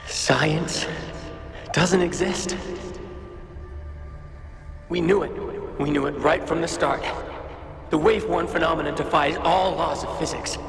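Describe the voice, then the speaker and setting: An actor playing a tired, obsessive, jealous scientist in a video game, theatrical, swinging from muttering to shouting. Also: male voice, fairly high pitch, fairly even intonation, slow, creaky, long pauses. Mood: bitter brooding. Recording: echoey reverberant hall, music behind.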